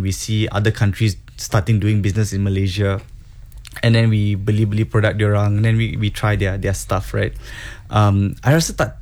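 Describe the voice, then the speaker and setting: A man's voice: fairly low pitch, a little mellow. A man speaking as a guest, giving earnest, encouraging advice and repeating words for emphasis. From grew up in Singapore and Hong Kong. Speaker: guest entrepreneur. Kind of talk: casual advice talk, mixing English and Malay.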